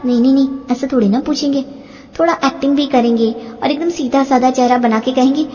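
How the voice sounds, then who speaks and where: A woman's voice: sits high, fairly even intonation, quick pace, darkish tone, muffled recording, lightly acted. A woman voicing a feisty young daughter-in-law who complains with wounded pride in a moral-story cartoon. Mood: scheming. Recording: long hall-like reverb.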